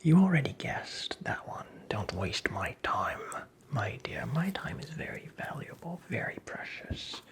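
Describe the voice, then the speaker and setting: A performer playing a creepy, teasing, very old male magical imp in a whispered ASMR roleplay, with breathy, creaky, theatrical delivery. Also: mid-range voice, very animated. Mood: taunting, impatient. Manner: slow, theatrical, taunting, toying with the listener.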